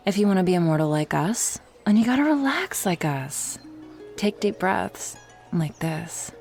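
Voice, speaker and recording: Woman acting flirty island hedonist, creaky voice, casual slangy teasing, unhurried audio drama delivery, music underneath. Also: fairly low pitch, sing-song. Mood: breezy, flirtatious.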